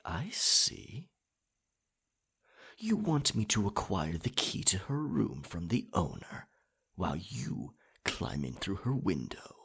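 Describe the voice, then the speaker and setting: A man playing a dominant, sly pirate captain in a roleplay ASMR, with a raspy voice and slow, deliberate theatrical delivery. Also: low voice, fairly bright tone, full character voice. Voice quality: raspy, gravelly.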